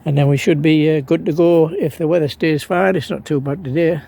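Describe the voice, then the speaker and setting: A man's voice: a little high, a little fast, creaky, dark tone. A man narrating an unscripted hands-on demonstration as an amateur radio hobbyist, hesitant and thinking aloud as he works. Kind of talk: unscripted how-to vlog narration.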